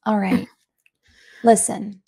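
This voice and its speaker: A woman's voice: medium pitch, flat delivery, creaky, warm tone, slightly rough. A woman playing her character in a tabletop game, hesitant and playful. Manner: slow, hesitant and playful, often laughing. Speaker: player.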